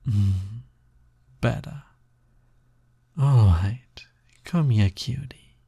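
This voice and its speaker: A man playing a teasing, smug, touch-starved naga roommate in an intimate audio roleplay, coaxing in a soft, drawling, breathy voice. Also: mid-range voice, extremely slow, dry recording, full character voice. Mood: contented, soothing. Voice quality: breathy, soft, slightly gravelly.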